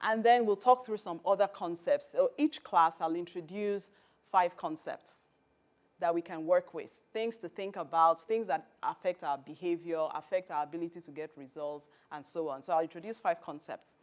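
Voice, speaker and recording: A woman's voice: lowish voice, normal speed. Earnest woman mentor teaching a workshop. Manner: earnest, instructive, builds lists of points. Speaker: mentor and program host.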